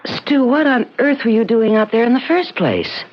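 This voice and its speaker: A woman's voice: a little high, big pitch swings, normal speed. A woman playing a concerned, practical and skeptical wife in a 1970s radio drama, questioning and earnestly reproving. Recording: dull, noisy old radio drama recording.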